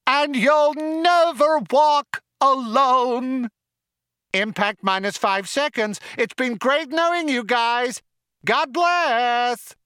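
A man's voice: very high voice, animated, slow, sharp tone, clear, resonant tone, no room sound, studio quality, pitched above the performer's own voice, full character voice. A man voicing a relentlessly cheerful ship's computer in a nasal, whining voice, over-familiar and given to crooning. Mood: blithely cheerful. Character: chirpy, relentlessly cheerful male ship's computer, singing mawkishly.

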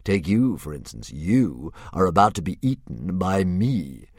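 A huge, vain male dragon, as a male reader puts him on, drawling every word with grand condescension. His low voice swoops widely as he muses, close and dry with no room around it. He sounds cheerfully menacing, savouring his own philosophy. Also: sing-song, slightly rough, dry recording, full character voice. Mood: musing. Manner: slow, drawn-out, grandly condescending. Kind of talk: audiobook character voice.

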